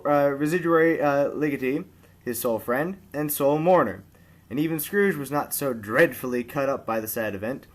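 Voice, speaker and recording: A man's voice: medium pitch, fairly bright tone. A man reading classic prose aloud as an amateur storyteller, with a theatrical, emphatic delivery.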